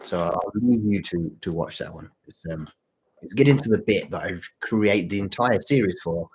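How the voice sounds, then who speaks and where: A man with a slightly sore throat and husky voice chats casually to livestream viewers as host, halting and repeating his phrases. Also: low voice, poor audio. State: mild sore throat, voice deeper than usual. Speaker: channel host and story writer.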